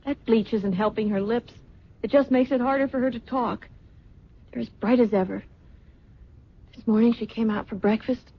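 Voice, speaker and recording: Anxious young daughter, very husky breathy voice, fairly high, radio drama, muffled old recording. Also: female voice, fairly even intonation, normal speed, long pauses. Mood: worried. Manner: anxious, earnest. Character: distressed, caring young daughter of a disfigured mother.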